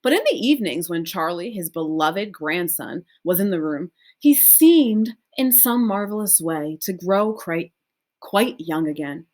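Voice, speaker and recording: A woman reading a children's book aloud as scripted, expressive narration. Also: fairly low pitch, a little slow. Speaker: storybook reader.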